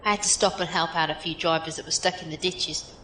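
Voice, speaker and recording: A woman's voice: steady tone, fast talker, a bit bright. A woman playing a breezy, blunt, tough female teammate in an audio drama, talking in a casual, folksy, direct way. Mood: casual, grumbling. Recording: echoey room with faint noise, rumble.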